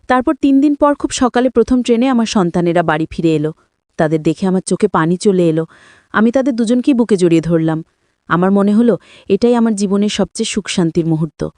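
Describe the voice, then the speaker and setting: A woman reading a scripted first-person story in a measured, dramatic storytelling manner. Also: mid-range voice, lively intonation, warm tone, no room sound, clean studio sound. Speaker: story narrator.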